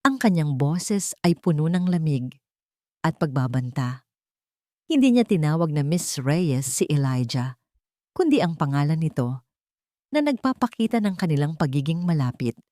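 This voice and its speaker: Woman narrating romance drama, slightly low voice. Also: very animated, normal speed, mellow, dry recording, studio quality. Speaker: story narrator.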